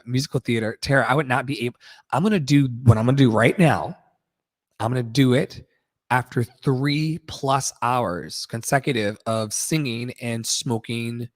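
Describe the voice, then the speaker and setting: A man's voice: mid-range voice, a little slow, dry recording. A man who sings and hosts a live audio show, chatting casually in an effusive, rambling, slangy and profane way. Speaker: singer hosting a live audio room show. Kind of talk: casual, rambling livestream chat.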